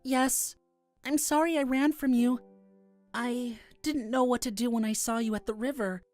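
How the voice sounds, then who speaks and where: A woman voicing a shy, gentle young male forest dweller in a visual novel, speaking in a halting, earnest way. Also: on the high side, fairly even intonation, faint music behind, close to an everyday voice. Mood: shy, hesitant. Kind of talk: visual novel character acting.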